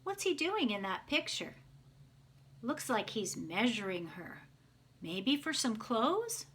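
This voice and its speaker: Bright, high-pitched woman reading to children. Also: takes it slowly, a little hiss or hum. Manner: expressive, addresses children with simple questions. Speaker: children's read-aloud storyteller. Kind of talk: picture-book read-aloud with questions to young listeners.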